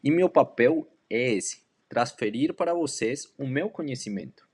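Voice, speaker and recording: Colombian man speaking accented Portuguese, measured. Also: medium pitch, expressive, darkish tone, breathy edge. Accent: Colombian Spanish accent in Portuguese. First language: Spanish. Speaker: dog trainer and canine educator. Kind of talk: self-introduction talk to a community.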